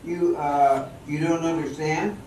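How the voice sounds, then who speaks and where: A man's voice: on the high side, steady tone, takes it slowly, a little mellow, slight background noise. Man acting a commanding showman dancer, echoey hall. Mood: exasperated. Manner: commanding, instructive. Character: male professional dancer, exacting, impatient, cocksure showman. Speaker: actor in an amateur radio drama. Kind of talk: theatrical amateur radio drama acting. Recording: echoey hall, distant mic, music behind.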